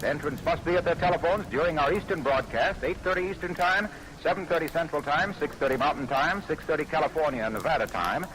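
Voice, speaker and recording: A man's voice: fairly high pitch, fairly bright tone, a little hiss or hum, rough recording. A man reading a scripted contest announcement as a 1940s radio announcer, on a vintage broadcast recording.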